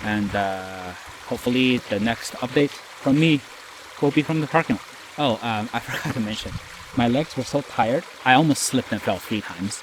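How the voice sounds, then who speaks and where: A man talks to his camera while hiking alone through a wet forest. His voice sits at a middle pitch and sounds a little nasally and worn out. He rambles and pokes fun at himself, with a faint outdoor hiss and running water behind him. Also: normal speed. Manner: tired, rambling, self-mocking asides. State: nasally, a bit out of breath from hiking. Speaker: solo hiker vlogging. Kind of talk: casual self-recorded trail diary. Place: outdoors on a forest trail in rain. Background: stream and forest ambience.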